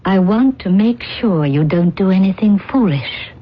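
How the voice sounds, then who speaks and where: A woman's voice: medium pitch, expressive, measured pace. An actress playing a resolute alien woman in love, in a radio drama, speaking earnestly and formally. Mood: guarded, urgent. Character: resolute alien woman, the captain's secret beloved. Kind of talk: old-time radio drama acting. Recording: dull, muffled radio drama recording.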